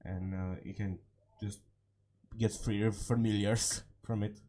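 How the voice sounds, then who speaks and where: A man giving casual, unscripted game commentary, halting and repeating short phrases, his voice slightly hoarse from a cold. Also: fairly low pitch, flat delivery, mellow. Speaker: gaming video creator.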